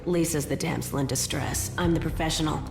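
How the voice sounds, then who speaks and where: A woman's voice: low-pitched, fairly quick, gravelly. A woman playing a tough, cool femme fatale hit woman in a noir video game, sultry, understated and confident. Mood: confident, matter-of-fact. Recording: roomy echo, music behind.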